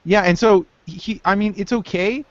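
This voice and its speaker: A man's voice: high voice, moderate pace, a bit bright, no echo. A man in his thirties in a casual group chat, talking hesitantly with many restarts. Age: 30s. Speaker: podcast guest. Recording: dry, dull lo-fi sound.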